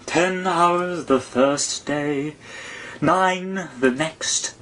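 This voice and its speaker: A man's voice: a little high, a bit bright, slight background noise, voice pushed up, rough recording. A man doing a sighing Mock Turtle. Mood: mournful. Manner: drawn-out, sighing delivery. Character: mournful, sobbing old sea turtle.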